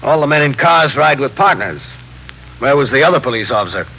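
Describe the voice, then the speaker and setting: Crisp, authoritative police captain. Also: male voice, medium pitch, lively intonation, brisk, bright, forceful tone. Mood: probing, firm. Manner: clipped, formal, matter-of-fact questioning. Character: businesslike middle-aged male police captain, measured and authoritative. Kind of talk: 1950s radio drama narration and dialogue. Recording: noisy 1950s radio recording.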